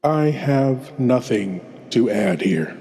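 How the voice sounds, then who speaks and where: A man's voice: mid-range voice, expressive, slow pace, mellow, faint music behind. A man playing a ghoulish, courtly lord of the shadows as a theatrical, ominous horror host. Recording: long cavernous reverb.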